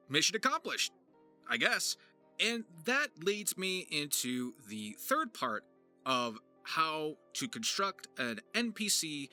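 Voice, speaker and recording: A man narrating an explanatory vlog as a creator and voice actor, with emphatic, deliberate phrasing and dramatic stresses. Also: a little high, bright, forceful tone. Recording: music behind the voice. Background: music.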